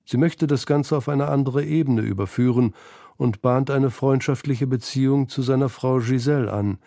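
Male narrator for literary feature. Mellow, mid-pitched, recorded dry. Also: dry recording. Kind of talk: scripted literary documentary narration.

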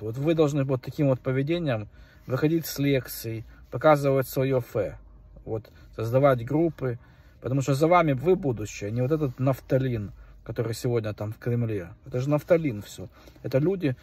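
A man's voice: mid-range voice, a little mellow, breathy edge. A middle-aged man recording a rambling, preachy monologue to a phone in a car at night, with many fillers. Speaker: vlogger. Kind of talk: casual monologue to camera. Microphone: phone held at arm's length. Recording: phone mic, distant, faint car noise.

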